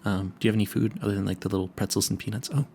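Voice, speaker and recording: A man's voice: lowish voice, level tone, vocal fry, mellow, a little hiss or hum. A man playing a nervous, smitten and clueless vampire hunter in an audio roleplay, stammering and correcting himself as he speaks to the listener. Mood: nervous, eager. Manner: flustered, stammering, halting and self-correcting.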